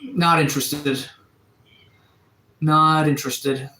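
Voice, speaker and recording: A man in his thirties from Atlantic Canada, chatting casually on a live stream, relaxed and rambling with lots of fillers and restarts. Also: on the high side, slow pace, echoey room, slight background noise, low-quality recording. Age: 30s. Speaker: livestreamer. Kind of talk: casual live-stream chat reading viewer comments. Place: sunroom.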